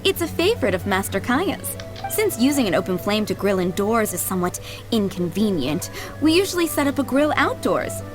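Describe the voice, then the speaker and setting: A woman voicing a kindly, middle-aged head maid in a video game, polite, doting and gently chatty. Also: mid-range voice, sing-song, moderate pace, natural voice. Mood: helpful, polite. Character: kindly motherly head maid, fondly remembering boys she raised. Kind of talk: video game character acting. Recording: background music under the voice.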